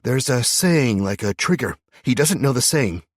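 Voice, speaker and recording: A hard, vengeful young woman, as a male narrator puts her on, pushing relentlessly for a kill. Her voice is husky and swings widely in pitch. It sits in a dry, close audiobook sound. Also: animated, moderate pace, no echo, close to an everyday voice. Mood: cold, insistent.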